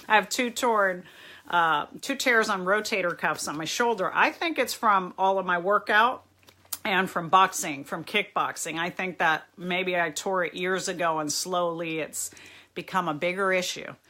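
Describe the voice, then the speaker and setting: Middle-aged woman, slightly raspy, bright tone, chatty live-stream host. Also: medium pitch. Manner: chatty, warmly greets viewers by name. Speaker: therapist hosting a live Q&A. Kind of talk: casual live-stream chat. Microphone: phone or webcam, live stream.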